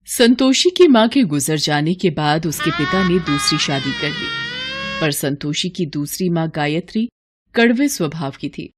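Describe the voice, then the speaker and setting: A woman's voice: sits low, big pitch swings, unhurried, over music. A woman storyteller reading scripted narration for a devotional moral story.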